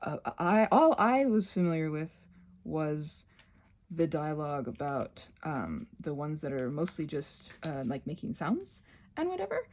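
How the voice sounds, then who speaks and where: A woman with a lively, swooping voice and some gravel in it chats casually as a craft channel host. She is playful and self-deprecating, wandering between tangents as she works with her hands. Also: sits low, unhurried. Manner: playful, self-deprecating, often giggly while talking; digressive, thinking aloud while working with hands. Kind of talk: casual rambling craft chat.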